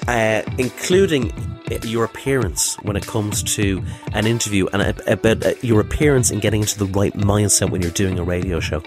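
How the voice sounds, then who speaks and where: Irish male host, low voice, slightly blocked-up nose, relaxed chatty intro over a music bed. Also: moderate pace, few pauses. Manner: chatty, warm praise with many ums and uhs. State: has a cold, snuffly. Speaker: podcast host and interviewer. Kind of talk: casual scripted intro and interview.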